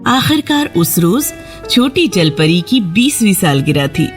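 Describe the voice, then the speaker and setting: A woman's voice: mid-range voice, big pitch swings. A woman storyteller reading a scripted fairy tale narration for children. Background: background music.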